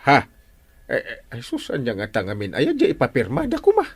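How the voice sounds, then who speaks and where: A pompous village captain, ilocano accent, stammery and flustered, voice jumping all over the place, radio comedy. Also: male voice, medium pitch, huge pitch swings, fairly quick, slightly rough, exaggerated voice. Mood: exasperated. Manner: stammering, blustering, exclaiming. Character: flustered, stubborn, self-important male village captain. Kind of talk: broadcast radio comedy drama acting.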